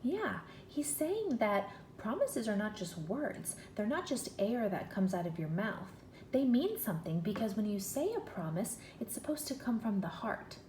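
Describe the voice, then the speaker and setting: A woman teacher reading a children's story aloud in an expressive, deliberate, questioning way. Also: mid-range voice. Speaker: first-grade teacher. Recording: echoey room with faint noise.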